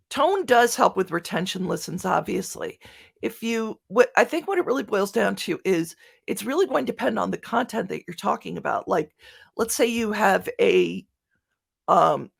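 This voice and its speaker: A woman with a Philadelphia American accent answering questions as a guest speaker, animated and halting, often restarting her sentences. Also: on the low side, creaky. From Philadelphia, United States. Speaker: guest speaker, podcast writer. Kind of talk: conference question-and-answer talk.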